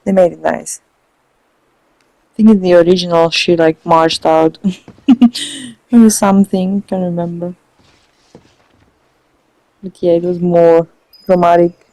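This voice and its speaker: Mellow, creaky woman giving casual game commentary. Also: on the low side, fairly even intonation, slow, long pauses, no room sound, rough recording. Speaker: game streamer. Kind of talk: casual let's play commentary.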